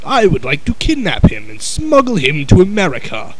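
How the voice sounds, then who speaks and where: A man playing a scheming gorilla kidnapper in a storybook, speaking in a taunting, drawn-out manner. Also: a little high, wildly swooping pitch, a little hiss or hum, low-quality recording.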